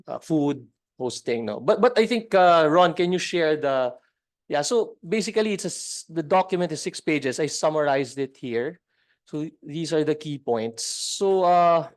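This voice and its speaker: Man, business meeting report, halting, fillers, video call audio. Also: a little high. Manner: halting, many fillers and restarts. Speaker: meeting presenter.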